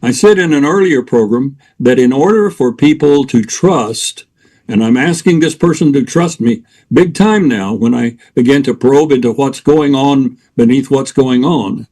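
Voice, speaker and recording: An older man with a mid-range voice speaks in a steady, measured way. He explains his ideas patiently and ticks off points one by one, as a guest on a talk show. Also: medium pitch. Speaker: guest expert on interventions. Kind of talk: radio talk show interview.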